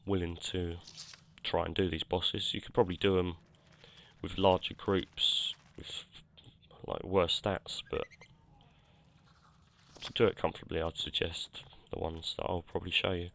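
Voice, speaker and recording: A man with a deep, monotone voice narrates his gameplay in a relaxed, rambling way. His throat sounds croaky from a cold, and he fills pauses with "uh" and corrects himself mid-sentence. It sounds like a home headset recording. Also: a little hiss or hum. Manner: rambling, self-correcting, many fillers. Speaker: gameplay commentator. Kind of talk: casual game commentary.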